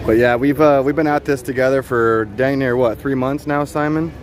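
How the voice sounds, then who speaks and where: A man hosting a casual outdoor livestream, chatty and quick to laugh. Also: medium pitch, steady tone. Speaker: livestream host. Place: outdoors on a city street. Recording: street noise behind.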